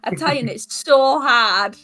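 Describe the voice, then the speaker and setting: Bright-voiced woman roleplaying a game. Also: sits high, unhurried, soft background music. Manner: guarded, defensive in character. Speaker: tabletop player. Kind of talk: in-character roleplay and narration of actions.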